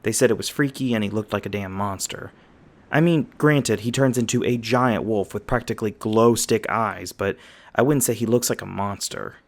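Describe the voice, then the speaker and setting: Guy doing a loyal mage friend on lookout, casual and wry, lowish voice, asmr roleplay. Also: moderate pace, natural voice. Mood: reassuring, candid. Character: loyal, chatty young mage lookout, protective old friend.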